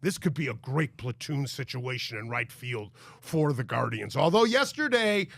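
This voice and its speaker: A man hosting a sports talk show, congested from a cold, talking in an energetic, emphatic way. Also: medium pitch, moderate pace, vocal fry, no echo, studio quality. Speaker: sports radio host.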